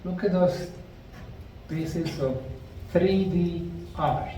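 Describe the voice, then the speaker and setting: A middle-aged man slowly narrating a documentary on location at ancient ruins, explaining the sites like a tour guide. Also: high-pitched, warm tone, slight background noise. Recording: roomy, distant mic with faint outdoor ambience.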